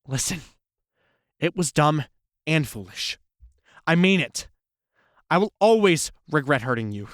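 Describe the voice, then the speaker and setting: A man acting a remorseful, lovesick ex-boyfriend in an audio drama, speaking in an earnest, halting, pleading way. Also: fairly high pitch, sing-song, a bit bright, no echo, studio quality, full character voice. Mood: confessional.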